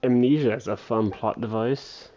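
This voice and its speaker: A game streamer giving casual live commentary in a low-energy, halting, muttering way. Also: fairly even intonation, mellow, faint music behind.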